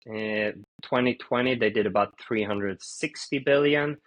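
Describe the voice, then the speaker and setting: Male guest, 30s, from Sweden, low-pitched, relaxed storyteller with lots of "uh"s. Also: low-quality recording. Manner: relaxed storytelling with frequent "uh" pauses. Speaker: guest, fintech founder. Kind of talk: casual interview answers.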